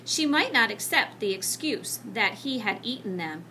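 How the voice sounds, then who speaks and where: A woman reading a children's book aloud as an expressive narrator. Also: a little high, moderate pace, slight background noise, poor audio. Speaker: storybook reader.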